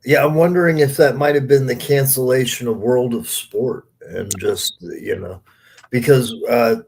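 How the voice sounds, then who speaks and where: A man's voice: mid-range voice, fairly even intonation, moderate pace, gravelly, darkish tone, a little hiss or hum. A man co-hosting a casual podcast, explaining bits of history in conversation. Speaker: podcast co-host.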